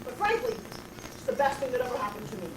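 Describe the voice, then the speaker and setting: A woman playing an eccentric, brash homeless bag lady with a raspy voice, who talks straight at the passersby in a comic stage monologue. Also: fairly high pitch, very animated, quick pace, roomy sound, recorded from a distance, heavy background noise. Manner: confrontational, addresses passersby directly. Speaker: actress performing a stage monologue.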